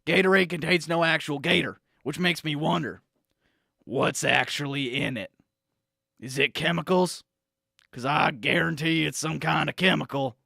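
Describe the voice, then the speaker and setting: A man putting on a loud conspiracy-ranting newsman. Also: a little high, normal speed, gravelly, dry recording, studio quality, exaggerated voice. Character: brash male conspiracy-ranting news correspondent and supplement salesman. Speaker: comedy radio character. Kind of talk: improvised radio comedy bit.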